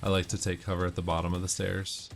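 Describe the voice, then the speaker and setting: A man's voice: low-pitched, monotone, quick pace, vocal fry, music in the background. A man giving casual, matter-of-fact gameplay commentary, explaining tactics as he goes. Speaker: gamer giving walkthrough tips. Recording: noisy, game gunfire behind voice. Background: video game gunfire and ambience.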